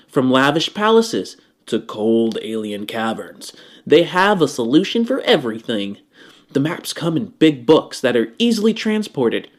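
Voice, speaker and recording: A man's voice: fairly high pitch, no echo, studio quality. A man hosting and narrating a tabletop game as game master, with casual, conversational storytelling. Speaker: game master and show host. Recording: background music under voice. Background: music.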